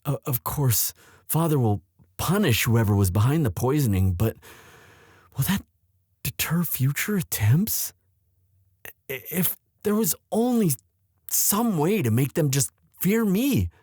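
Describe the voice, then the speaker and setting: A man playing an anxious, protective young prince in love, speaking earnestly and haltingly in a romantic roleplay audio drama. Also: on the high side, big pitch swings, a little mellow, slightly rough, no echo, clean studio sound, exaggerated voice. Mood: conflicted, earnest. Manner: earnest, halting, tender delivery.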